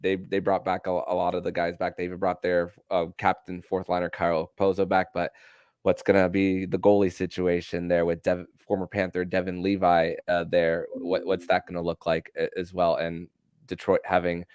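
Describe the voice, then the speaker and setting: Deep-voiced, hesitant podcast host. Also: male voice, completely flat delivery, darkish tone, clean tone, no room sound, studio quality. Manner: hesitant, fills pauses with "uh" and repeats words. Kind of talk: casual sports podcast discussion.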